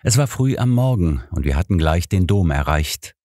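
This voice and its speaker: A man's voice: low voice, sing-song, moderate pace, mellow, no room sound, clean studio sound. A man reading scripted narration of a detective story as an audiobook narrator.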